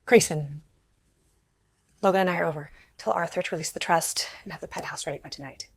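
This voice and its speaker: A woman playing a wronged young wife in an audio drama, controlled and quietly menacing, with a husky, breathy voice. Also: lowish voice, a little fast, no room sound. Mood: cold, controlled. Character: wronged young pregnant wife, coolly bent on revenge.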